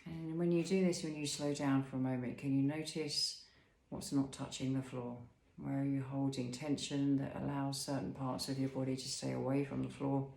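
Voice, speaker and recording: A man leading a guided body scan as an instructor, speaking in a soothing, unhurried way with pauses and gentle questions. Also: medium pitch, flat delivery, gravelly, roomy sound, poor audio.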